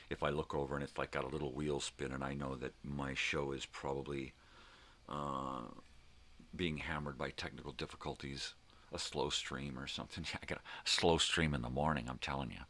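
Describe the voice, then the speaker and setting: An older man hosting a casual, rambling livestream, thinking out loud in a folksy way. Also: a bass voice. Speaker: host of a daily livestream show.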